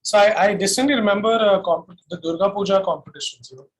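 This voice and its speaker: Male interview guest, Indian accent, slightly high husky voice, reflective, unhurried storytelling with hesitations. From Delhi, India. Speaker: singer-songwriter being interviewed. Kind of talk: casual reminiscing in an interview.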